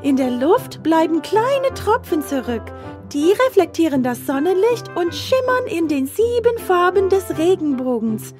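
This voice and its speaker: A friendly talking tablet from a children's cartoon, high and girlish. It explains eagerly and slowly like a know-it-all encyclopedia, over background music. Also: very animated, exaggerated voice. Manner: eager, didactic. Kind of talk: children's educational cartoon, singsong introduction. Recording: music behind the voice.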